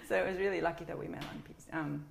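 A woman's voice: medium pitch, vocal fry, fairly bright tone. A middle-aged woman chatting casually as an interview guest, recorded with roomy echo and some background noise. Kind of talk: casual conversation.

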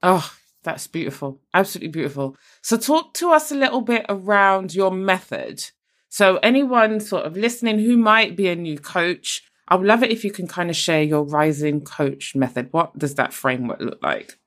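A woman's voice: fairly low pitch, animated, normal speed, a bit bright. A woman from Barbados hosting a podcast, encouraging and enthusiastic toward her guest. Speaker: podcast host. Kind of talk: casual interview.

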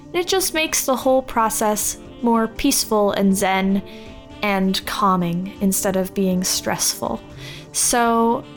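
A woman giving a chatty, informal personal monologue on a podcast. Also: a little high. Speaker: podcast team member. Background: faint music.

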